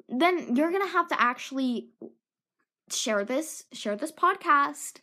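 A woman's voice: sits high, lively intonation, slow pace, pushed and bright. Rambling teen girl with a sore throat. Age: teens. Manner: self-mocking, scattered, drifts into whispered asides. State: sore throat, losing her voice. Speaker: podcast host. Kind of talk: rambling solo podcast chat.

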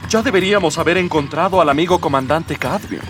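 Man playing an earnest high-voiced rebel prince. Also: fast, recorded from a distance, music in the background, lightly acted. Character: idealistic young rebel prince, earnest and exasperated. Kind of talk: radio drama acting.

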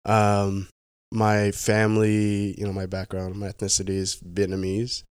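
A young man speaks in a low voice, easygoing but halting, restarting phrases and leaning on fillers as he tells his story. The sound is dry and close, with no room behind him. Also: completely flat delivery, darkish tone, clean tone, no echo. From Pacific Northwest, United States. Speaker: guest. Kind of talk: casual conversational interview.

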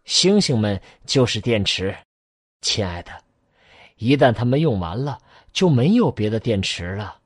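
Casting: male actor as tender, patient radio-drama dad, husky mid-pitched voice, dry close sound. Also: sing-song, a little slow, no room sound. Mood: gently explaining. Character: patient, tender father explaining things to children. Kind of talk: Chinese science-fiction radio drama acting.

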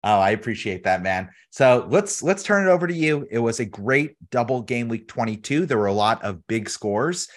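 An energetic man hosts a podcast, hyped and jokey in a relaxed chat. His voice sits at an ordinary middle pitch. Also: normal speed, hardly any pauses. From United States. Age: adult, has a daughter. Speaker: podcast host.

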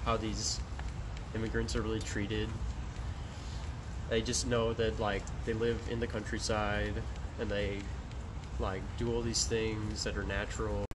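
Young man hosting, low voice, audible noise. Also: level tone, unhurried. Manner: hesitant, many fillers and restarts. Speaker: student podcast host. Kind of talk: casual discussion of a short story and a game. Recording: noisy amateur recording.

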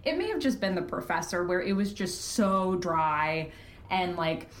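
A woman chatting casually as an interview guest, animated and self-deprecating. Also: lowish voice, measured pace. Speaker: podcast guest, fiction podcast creator and host. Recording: echoey room, faint background noise.

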